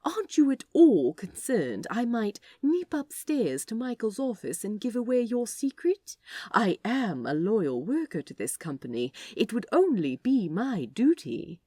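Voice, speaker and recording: A woman's voice: a little high, very animated, a little slow, mellow, slightly rough, no echo, studio quality, voice pushed up, heavily acted. A woman voicing a prim, polite, eager male office worker with a formal, arch and playfully theatrical manner.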